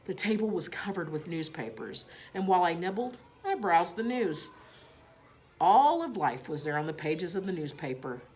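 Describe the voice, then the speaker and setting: A woman reading a children's storybook aloud in expressive narration. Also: fairly low pitch, normal speed.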